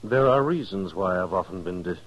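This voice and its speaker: An actor playing a polite, proud gentleman gambler in a 1950s radio western, speaking formally and precisely. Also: deep voice, lively intonation, a little fast, highs cut off. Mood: confident, courteous. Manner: formal, courteous, precise diction.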